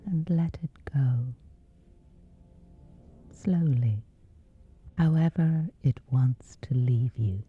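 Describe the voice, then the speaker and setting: A woman with a whispery voice, slowly and gently guiding a sleep meditation. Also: very deep voice, slightly rough, phone-quality sound. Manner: slow, soothing, unhurried. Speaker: meditation guide.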